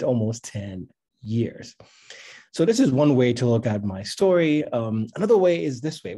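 A middle-aged man from Tanzania with a dark, mellow voice and a husky grain. He talks conversationally, as a workshop speaker, and encourages his listeners, doubling back on phrases to press them home. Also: mid-range voice. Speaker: speaker, founder of a science advocacy institute. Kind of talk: informal workshop talk with slides. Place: indoor room with bookshelves, on a video call.

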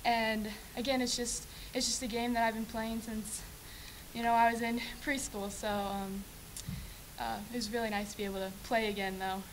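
A woman's voice: fairly high pitch, flat delivery, normal speed, slight background noise, low-quality recording. A young woman, a freshman basketball player, answering post-game questions earnestly at a table mic with many ums. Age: young adult. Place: press room with sponsor backdrop. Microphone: table mic on stand.

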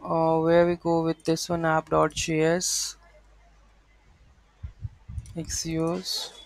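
Male tutorial presenter, slow and halting, flat delivery, unscripted, close dry sound. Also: a little high, creaky, no room sound, low-quality recording. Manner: halting, thinking aloud. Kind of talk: unscripted screen-share coding walkthrough. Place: voice-over to a screen recording.

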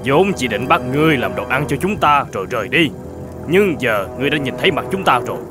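An actor playing a threatening, greedy bandit in an animated folk tale, giving orders in a commanding manner. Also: male voice, mid-range voice, fast, music in the background, close to an everyday voice. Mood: cold threat. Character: menacing, domineering male bandit, greedy and hungry.

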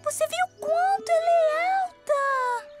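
A performer voicing an excitable, caring young motorcycle in an earnest, exclamatory children's cartoon dub. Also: very high voice, takes it slowly. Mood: excited. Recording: music behind the voice.

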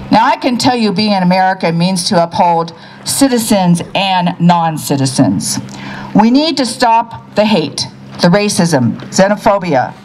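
A woman's voice: mid-range voice, darkish tone, a little hiss or hum, rough recording. A woman giving an earnest public speech at an outdoor podium microphone, urging the crowd to act. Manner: earnest, urging, with emphatic calls to action. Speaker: speaker at a pilgrimage rally. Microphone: podium mic, far camera.

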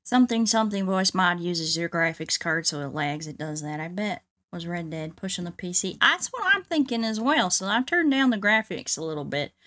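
A woman's voice: fairly low pitch, lively intonation, moderate pace, hardly any pauses, fairly bright tone. A woman with a Southern US drawl, chatting casually in folksy cowboy talk as she hosts a game livestream. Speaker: livestreaming VTuber host playing a video game.

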